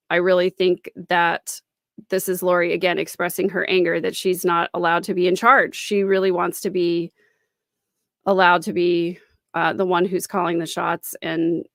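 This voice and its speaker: A woman's voice: on the low side, gravelly, dry recording. Woman commentator, close dry sound. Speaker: host and commentator. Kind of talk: live-stream commentary analysing a recording.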